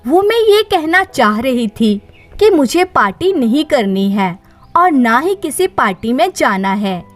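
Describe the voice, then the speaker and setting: Woman playing a timid, high-voiced unhappy wife. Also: big pitch swings, a little slow, no echo, soft background music. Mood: frightened. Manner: evasive, hesitant. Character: unhappy, timid young wife of rich household.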